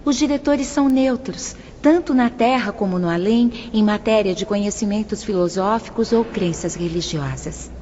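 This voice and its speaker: A woman's voice: mid-range voice, moderate pace, few pauses, warm tone, natural voice. An actress playing a helpful, devout female spirit guide in an audio drama, speaking formally and instructively. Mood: kindly, instructive. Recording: dull lo-fi audio, faint ambient noise.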